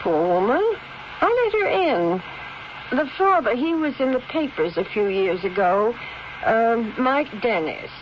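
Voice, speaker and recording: A woman's voice: on the high side, very animated, unhurried. A woman acting in a 1970s radio drama as an anxious, deferential old housekeeper. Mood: deferential, confiding. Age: older. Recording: muffled, hissy 1970s radio broadcast.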